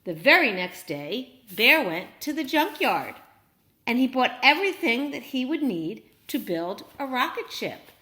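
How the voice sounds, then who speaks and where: A woman reading a story aloud to young children, animated and encouraging, often putting questions to her listeners. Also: on the high side, a little slow.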